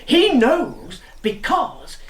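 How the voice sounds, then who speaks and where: An actor playing a tipsy, sardonic British intelligence officer in a radio drama, talking in a theatrical way. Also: male voice, very high voice, wildly swooping pitch, drawn-out words, echoey room, exaggerated voice.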